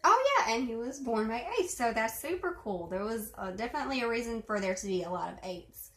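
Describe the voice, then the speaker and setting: A woman's voice: a little high, lively intonation, brisk. Chatty young woman in a roomy room. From Arkansas. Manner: chatty, many fillers, clears throat. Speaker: video host responding to a reading. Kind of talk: casual chat. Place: bedroom at home. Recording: roomy echo.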